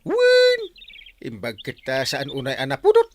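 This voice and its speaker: An actor playing an excitable, gullible village captain in a radio comedy-drama, exclaiming eagerly and then grumbling. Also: male voice, medium pitch, normal speed, slight background noise. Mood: excited.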